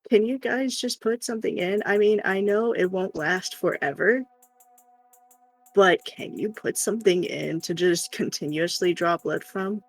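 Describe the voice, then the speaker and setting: An animated speaker with a creaky, mid-pitched voice tells personal stories in a casual chat. Quoted remarks get acted out, and faint music plays behind. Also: unhurried, no echo, rough recording. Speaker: live stream host.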